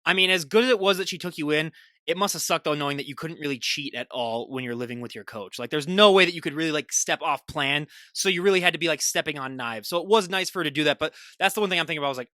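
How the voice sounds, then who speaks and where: Sarcastic young Midwestern host, rapid and bright-toned. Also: male voice, a little high, dry recording, studio quality. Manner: sarcastic, joking. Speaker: podcast host. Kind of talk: casual chat.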